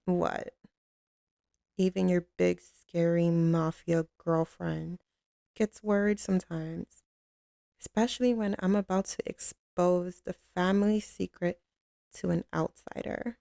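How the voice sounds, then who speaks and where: Casting: woman as a commanding female mafia boss, slow drawl, dark velvety tone, tough but soft on her man, intimate ASMR roleplay. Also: lowish voice, fairly even intonation, vocal fry, no echo, studio quality. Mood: affectionate, reminiscing. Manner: drawling, teasing, streetwise, with pet names.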